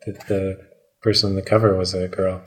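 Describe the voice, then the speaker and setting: A man's voice: deep voice, level tone, a little fast, fairly bright tone. A man chatting casually as a co-host, making dry, playful quips in reaction to what he hears. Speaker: podcast co-host. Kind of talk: casual joking chat.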